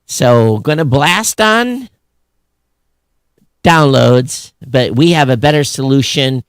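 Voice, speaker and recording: Male podcast co-host, middle-aged, blunt and sarcastic, average pitch, dry studio-tight sound. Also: lively intonation, slow, smooth, no room sound. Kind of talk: casual opinionated tech news chat.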